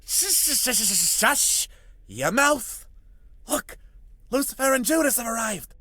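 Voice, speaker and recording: A man's voice: very high-pitched, lively intonation, takes it slowly, bright, forceful tone, dry recording, studio quality, exaggerated voice. A man playing an eager, hopeful 1920s all-American young man in a visual novel, earnest and exclamatory, with old-fashioned slang. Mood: anxious hushing. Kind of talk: visual novel character acting.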